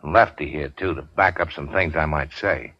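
An actor playing a weary, sardonic gangster in a 1940s radio drama, terse and slangy. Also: male voice, extremely low pitch, moderate pace, no echo. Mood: scheming, terse. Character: weary hard-boiled gangster, wounded, sardonic and unafraid. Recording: dull 1940s radio recording.

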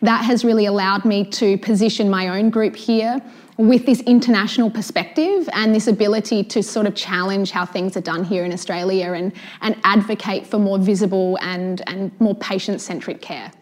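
A woman's voice: medium pitch, steady tone, normal speed. A woman speaking as a researcher guest in a podcast interview, articulate and assured.

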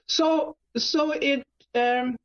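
Swedish-accented woman, halting and lo-fi. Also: high-pitched, fairly even intonation. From Sweden. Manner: halting, many ums and restarts. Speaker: guest, marketing business owner. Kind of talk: radio talk show interview.

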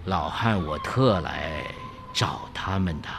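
A man's voice: low voice, takes it slowly, a bit bright, a little hiss or hum, voice pushed down, full character voice. A man voicing a frail, pitiful old man in a feeble, sighing storybook manner.

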